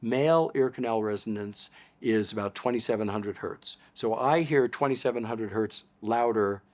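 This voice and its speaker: A man's voice: on the low side, moderate pace. An older man with an American accent, an audiologist patiently explaining things in an interview. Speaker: audiologist guest.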